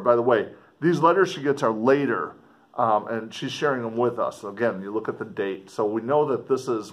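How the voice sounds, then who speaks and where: A middle-aged man with a mid-pitched voice reads aloud in a teacher's manner. His delivery is expressive and measured, with deliberate pauses and emphasis on key phrases. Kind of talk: expressive book reading with teaching commentary.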